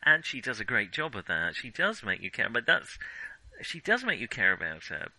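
A man's voice: medium pitch, huge pitch swings, moderate pace, sharp tone, no echo, poor audio. A man co-hosting a film podcast, chatting casually with wry, enthusiastic humour. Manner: enthusiastic, chuckling, wryly joking.

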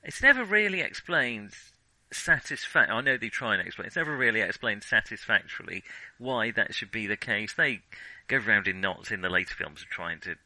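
Bright, forceful man chatting about films. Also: fairly low pitch, lively intonation, normal speed, dry recording, rough recording. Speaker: podcast co-host. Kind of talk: casual film discussion.

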